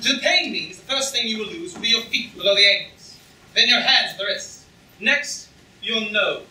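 A young man playing a vengeful, taunting hero in a theatrical staged reading, speaking in a deliberate, declamatory way. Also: high voice, animated, pushed and bright, heavily acted. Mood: taunting, defiant. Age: young adult. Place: lecture-room stage with audience, filmed from seats. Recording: echoey distant hall recording with noise.

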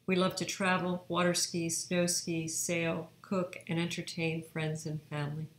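A woman in her fifties, an eye surgeon hosting a webinar, reading her presentation in a measured way. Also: lowish voice, flat delivery, reverberant. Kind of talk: read-aloud presentation narration.

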